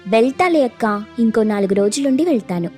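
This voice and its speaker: A woman's voice: a little high, animated, fairly quick, darkish tone, faint music behind, close to an everyday voice. A woman voicing a mischievous, teasing young woman in a playful, sometimes whiny way for a story. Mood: protesting. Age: young adult.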